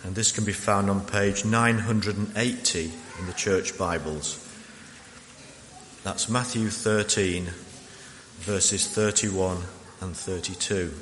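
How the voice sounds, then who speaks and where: Slow, low-voiced man reading scripture. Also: a bit bright. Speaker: scripture reader at a church service. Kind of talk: formal Bible reading. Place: church. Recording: echoey hall, clear background noise.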